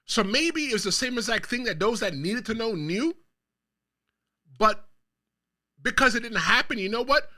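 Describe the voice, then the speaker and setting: Bright, animated male wrestling show host. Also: very high-pitched, normal speed, pauses often. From the Bronx, New York. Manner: animated, emphatic, delivers hot takes; talks straight to viewers. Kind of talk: solo wrestling commentary show.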